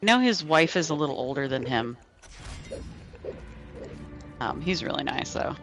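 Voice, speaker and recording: A man's voice: fairly high pitch, lively intonation, normal speed, gravelly, music in the background. A man in his thirties chatting casually with fellow players over a headset, rambling with lots of fillers. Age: 30s. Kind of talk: casual gaming chat.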